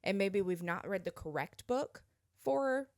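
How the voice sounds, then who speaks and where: A woman's voice: medium pitch, lively intonation, a little slow, a little mellow, dry recording, studio quality. A woman co-hosting a podcast in casual conversation, often repeating phrases for emphasis. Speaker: podcast co-host. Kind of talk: casual chat reviewing films and books.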